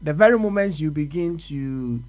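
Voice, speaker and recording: A man's voice: fairly high pitch, animated, moderate pace, darkish tone. Reflective man hosting radio. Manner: reflective, mixes English and Twi, asks probing questions. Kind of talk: casual radio discussion.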